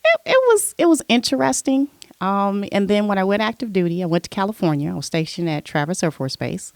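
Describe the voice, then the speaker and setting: A woman's voice: mid-range voice, fairly quick, dry recording. Woman telling her life story, dry sound. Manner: relaxed storyteller, recounting her past at length. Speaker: podcast guest. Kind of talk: casual interview chat.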